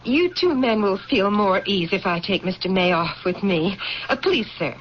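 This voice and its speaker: A woman's voice: medium pitch, animated, moderate pace, lightly acted. A gracious, genteel woman , played by an actress in a vintage radio play, polite and solicitous with her guests. The recording is dull with faint hiss. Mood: tactful. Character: genteel, gracious, tactful general's lady. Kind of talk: old-time radio drama acting. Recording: muffled, noisy old radio drama tape.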